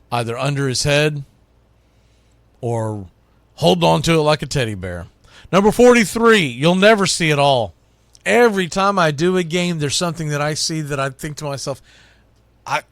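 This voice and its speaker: Higher-pitched middle-aged man, emphatic sports host. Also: no echo. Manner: emphatic, announces each item loudly then drops into quieter musing. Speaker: radio host and baseball play-by-play announcer. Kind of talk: opinionated sports monologue counting down a list.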